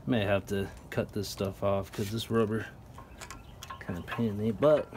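A man casually explaining a tool setup while working with his hands, chatty and relaxed with plenty of ums. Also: fairly low pitch, unhurried, noisy background. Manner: relaxed, chatty, lots of ums and you-knows. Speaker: product reviewer. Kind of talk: casual how-to narration.

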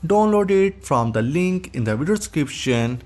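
A man's voice: a little high, animated, normal speed, darkish tone. A man hosting a tech tutorial, reading scripted narration as a voice-over. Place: voice-over recording. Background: background music.

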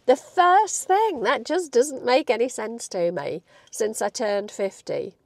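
A woman in her late fifties talks to her viewers from the driver's seat of a parked car, her voice croaky from a cold. She is chatty and animated and laughs often, with a slightly high pitch. The sound is close and dry, picked up by a lapel mic. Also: slow, no echo. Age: 50s. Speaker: vlogger. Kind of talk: casual talk to camera.

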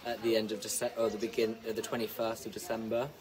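A young man, a dressage rider, answering interview questions outdoors in an easygoing way with many ums and quick yeahs. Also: on the low side, flat delivery, distant mic, crowd noise. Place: outdoors in front of a hedge.

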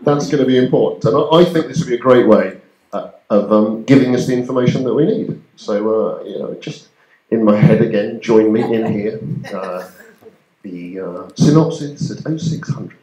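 A man's voice: fairly low pitch, normal speed, mellow. Man doing wry stand-up. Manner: mock shipping-forecast delivery, wry. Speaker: stand-up comedian. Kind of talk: stand-up comedy routine. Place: community centre hall, live audience. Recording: echoey hall with background noise.

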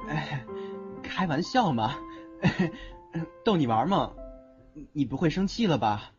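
A man acts a young audio-drama charmer, teasing yet protective, with a widely swinging pitch. The sound is a little dull, with soft music behind. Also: very animated, slow pace. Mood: flustered. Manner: playful, teasing. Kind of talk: Chinese audio drama acting.

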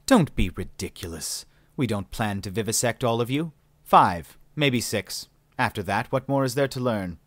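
A man reading an audiobook, voicing a calm, condescending male alien scientist with polite, mocking, unhurried speech. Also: mid-range voice, no echo. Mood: smug, condescending.

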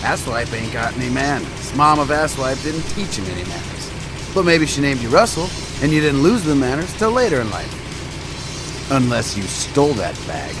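An actor playing a brash middle-aged American drifter in a video game, talking in a taunting, cocky, folksy way. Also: male voice, mid-range voice, very animated, moderate pace, over music, natural voice. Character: brash, needling redneck drifter in a pickup truck. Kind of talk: naturalistic video game acting.

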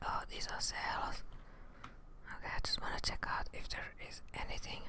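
A young woman murmuring to herself in a hushed, unhurried ASMR commentary on a video game, close to the microphone. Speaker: gameplay streamer. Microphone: close desk microphone, wearing headphones.

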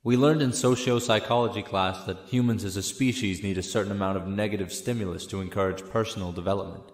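A man's voice: on the low side, fairly even intonation, fast, natural voice. An actor playing a studious young male student, reasoning in an academic, explanatory way in an audio drama. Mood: thoughtful. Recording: echoey hall reverb with music.

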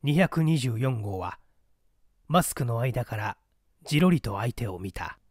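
A man's voice: medium pitch, animated, measured pace, dry recording, clean studio sound. A man reading a mystery story aloud as dramatic scripted narration. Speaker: audiobook reader.

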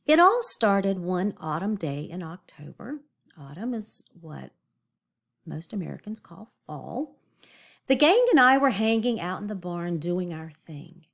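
A woman teacher reading a picture book aloud to young children in an expressive, deliberate way, pausing to explain and ask questions. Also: lowish voice, creaky.

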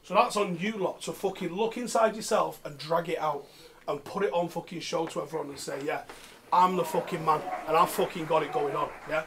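A man's voice: on the high side, brisk, fairly bright tone. A man, speaking emphatically and bluntly as a football coach. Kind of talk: team talk and interview, swearing. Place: changing room and outdoor pitch. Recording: clear background noise.

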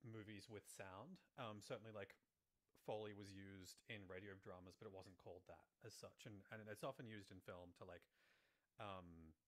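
A man's voice: low voice, steady tone, normal speed. A man in his thirties explaining things casually and loosely as a guest, with lots of "like" and "sort of," on a studio microphone. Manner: loose, filler-heavy, frequent "like" and "sort of". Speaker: Foley artist, guest. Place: small room indoors, video call. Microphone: studio microphone on boom arm, headphones.

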